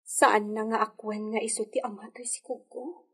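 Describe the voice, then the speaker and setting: A woman with an Ilocano accent acting a weary, anxious young expectant mistress in a radio drama, her voice husky and plaintive. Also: fairly high pitch, little pitch movement, moderate pace, a bit bright.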